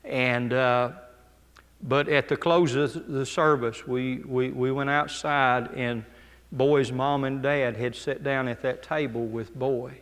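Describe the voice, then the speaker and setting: A slow, unhurried man speaks as a missionary to a congregation. His talk is plain and homespun, full of restarts and little "okay" asides. The sound rings with the long reverb of a church hall. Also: mid-range voice, steady tone, a bit bright, clear, resonant tone. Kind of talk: church talk with personal stories. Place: church sanctuary.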